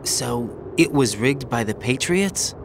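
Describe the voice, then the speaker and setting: A man's voice: medium pitch, expressive, slow pace, gravelly, background noise. An actor in a video game cutscene playing a troubled young male soldier, intense and questioning. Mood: tense, questioning.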